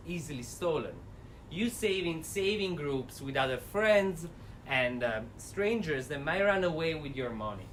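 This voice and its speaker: A man with a thick Italian accent giving an energetic, interactive seminar talk, standing and speaking without a microphone. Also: medium pitch. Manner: energetic, addresses audience directly. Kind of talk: interactive lecture. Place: university seminar room. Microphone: no mic, standing away from recorder. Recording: distant voice with faint room noise.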